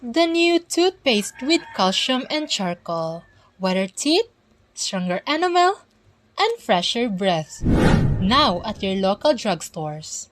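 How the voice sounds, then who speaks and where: A woman's voice: mid-range voice, sing-song, slow pace, fairly bright tone. A woman reading a scripted commercial as an announcer. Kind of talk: scripted toothpaste ad read. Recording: faint background noise.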